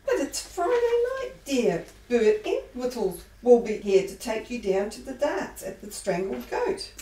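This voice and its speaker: A woman's voice: fairly high pitch, very animated, a little slow, far from the mic. A woman voicing an elderly, doting mother who fusses and calls people dear, in an amateur radio play. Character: elderly mother, fussing and gentle. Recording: echoey room, off mic, soft music.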